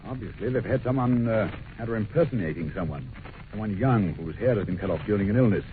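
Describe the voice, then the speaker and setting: An actor playing a brisk, masterful, analytical detective in an old-time radio drama, speaking with self-assured command. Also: male voice, lowish voice, lively intonation, close to an everyday voice. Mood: analytical, grave. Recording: tinny old radio recording, faint hiss.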